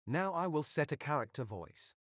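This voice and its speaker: A man narrating step-by-step software instructions as a tutorial presenter. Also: a little high, very animated, normal speed, no echo. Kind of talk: instructional screen-recording narration.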